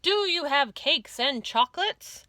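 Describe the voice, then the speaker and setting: A woman's voice: high-pitched, lively intonation, unhurried, bright, forceful tone, pitched above the performer's own voice, heavily acted. Woman voicing a bossy, greedy storybook rat robber. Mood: greedy, demanding. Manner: boastful, bossy. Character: greedy, menacing male rat highway robber. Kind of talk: children's storybook read-aloud.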